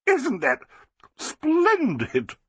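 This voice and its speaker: Guy doing a shrill gushy society wife, way up high, breathy. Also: wildly swooping pitch, drawn-out words, a bit bright, dry recording, exaggerated voice. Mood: gushing.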